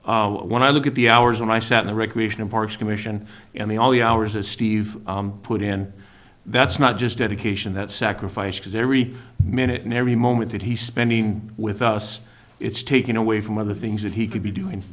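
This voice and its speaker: A man on a city council speaking earnestly and slowly, with some hesitation. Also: lowish voice, steady tone, fairly bright tone. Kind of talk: council meeting remarks. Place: council chamber.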